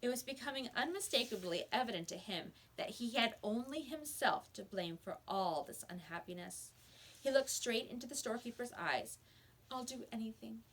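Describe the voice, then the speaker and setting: A woman reading a children's story aloud as a teacher would, with expressive narration. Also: a little high, normal speed, rough recording.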